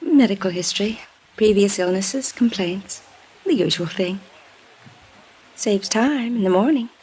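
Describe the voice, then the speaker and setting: An actress playing a polite, apologetic doctor's receptionist in a 1950s radio drama. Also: female voice, mid-range voice, expressive, unhurried, darkish tone, breathy edge, poor audio.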